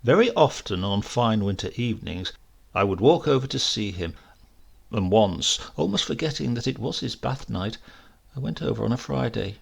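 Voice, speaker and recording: A man with a British accent reading a comic literary story as narrator, in a measured, dramatic way. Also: medium pitch, lively intonation, vocal fry, breathy edge. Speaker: audiobook reader.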